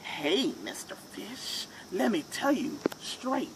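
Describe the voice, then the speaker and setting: A woman voicing a frank male octopus in a picture book, firm and raised in pitch. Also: very animated, slow, background noise. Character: straight-talking male octopus.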